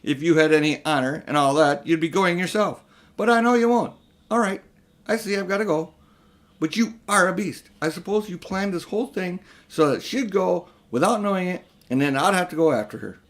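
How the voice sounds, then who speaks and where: A man's voice: high voice, expressive, brisk, pitched above the performer's own voice. A man voicing a brave, indignant young schoolboy in a children's audiobook, talking bluntly and defiantly. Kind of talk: children's audiobook character reading.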